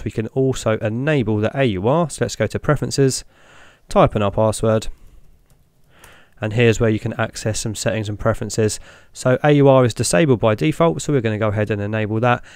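A young man hosting a tech channel, talking through each step in a relaxed way as he clicks through an install on screen. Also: medium pitch, brisk, clear, resonant tone, no echo, clean studio sound. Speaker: tech video host. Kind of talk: screen-recorded walkthrough narration.

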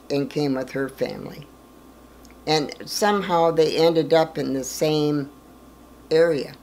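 An older woman in her eighties with a gravelly edge to her voice, telling stories slowly and plainly. A faint hiss of room noise sits under her words. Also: deep voice. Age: 80s. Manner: plain-spoken, slow storytelling with small laughs. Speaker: interviewee. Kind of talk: documentary interview reminiscence. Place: living room, in an armchair.

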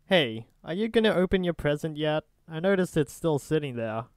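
A man's voice: fairly high pitch, expressive, moderate pace, clean tone, dry recording, close to an everyday voice. A man playing a nosy, easygoing young friend in an ASMR roleplay, casual and teasing. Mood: friendly.

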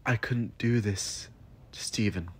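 Guy reading a sad solemn story, really slow, lowish raspy voice, lots of pauses. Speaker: narrator. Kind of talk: first-person scripted story narration.

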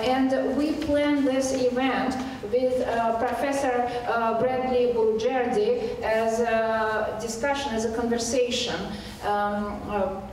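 A middle-aged woman from Latvia whose native language is Russian speaks as a panelist. She goes slowly, hesitating often as she gathers her thoughts. The sound is very echoey and distant, with a faint noise behind. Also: a little high, darkish tone. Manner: halting, frequent hesitations. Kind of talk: informal panel introduction. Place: college event room, standing and seated at table. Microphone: handheld microphone.

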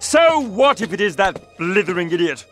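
A man's voice: high-pitched, very animated, normal speed, fairly bright tone. A man voicing a pompous, aggressive hunter in a cartoon game, theatrical and blustering, with an upper-class English accent. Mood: menacing, blustering. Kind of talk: animated comedy game cutscene acting. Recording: music behind the voice.

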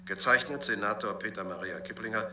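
Very forceful, stern government official. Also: male voice, on the low side, brisk. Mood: stern, formal. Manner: official, emphatic. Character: stern government senator reading official crisis memoranda. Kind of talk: formal read-out in a radio drama. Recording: roomy binaural studio sound.

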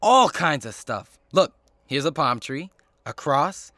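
A woman's voice: sits low, expressive, slow pace, a bit bright, no echo, exaggerated voice. An actress playing a friendly, chatty great-granddaughter showing her friends around in an audio drama.

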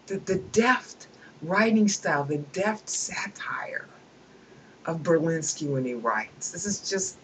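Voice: mid-range voice, a bit bright, a little hiss or hum, low-quality recording. A person at a home computer reading a book aloud and breaking off into opinionated, digressive commentary with chuckling asides.

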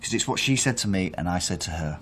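Low-voiced, fixated man. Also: animated, fast talker, fairly bright tone. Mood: agitated, bitter. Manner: emphatic, self-questioning storytelling. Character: aggrieved, fixated man recounting events under questioning. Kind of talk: naturalistic radio drama acting.

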